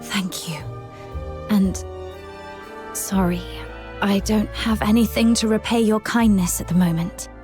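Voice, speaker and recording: An actress playing a weary, guarded freed military prisoner in a video game, terse, subdued and resolute. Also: female voice, mid-range voice, fairly even intonation, a little slow, long pauses, close to an everyday voice. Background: background music.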